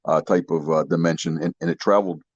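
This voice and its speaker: A man's voice: extremely low pitch, steady tone, fast, husky, dry recording. A man co-hosting a podcast in casual, agreeable, folksy chat over dull, muffled lo-fi audio. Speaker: podcast co-host.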